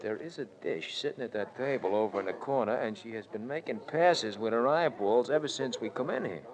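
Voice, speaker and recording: An actor playing a wisecracking, slangy New sidekick in a 1940s radio detective drama. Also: male voice, medium pitch, a little fast, close to an everyday voice, poor audio. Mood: admiring, wry. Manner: slangy, mock-formal wisecracks. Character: wisecracking, streetwise American sidekick in a detective serial. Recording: old radio recording.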